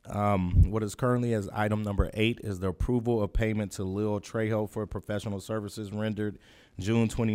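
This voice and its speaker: A man's voice: fairly low pitch, darkish tone, clear, resonant tone. A man chairing a public board meeting, hesitant with many fillers as he reads items.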